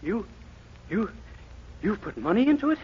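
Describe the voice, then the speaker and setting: A man's voice: high-pitched, sing-song, moderate pace, slightly rough, exaggerated voice. An actor playing a meek, naive young American man with an earnest, boyish, plaintive manner in 1940s radio comedy. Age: young adult. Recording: very noisy, dull 1940s radio recording.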